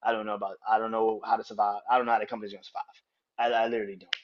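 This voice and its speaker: A man's voice: lowish voice, flat delivery, rapid-fire, highs cut off, a little hiss or hum. A young man hosting a podcast, counting down a ranking in casual, profane talk, often laughing and getting worked up. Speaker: podcast host.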